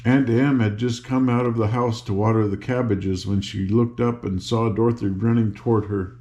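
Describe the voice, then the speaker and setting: An older man reading a children's book aloud in an unhurried, informal, grandfatherly way, with a gravelly voice and casual asides. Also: fairly low pitch, fairly even intonation, dark tone. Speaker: storybook reader and host.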